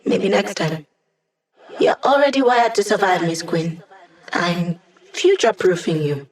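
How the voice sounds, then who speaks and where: A woman's voice: lowish voice, expressive, a little slow. A woman playing a brainy, wry digital hacker in a video game, talking casually and playfully as the squad's tech support. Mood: upbeat, playful. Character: cheeky, brainy female hacker, a digital holographic young woman.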